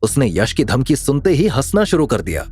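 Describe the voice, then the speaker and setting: Male audio story narrator with lively intonation. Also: mid-range voice, normal speed.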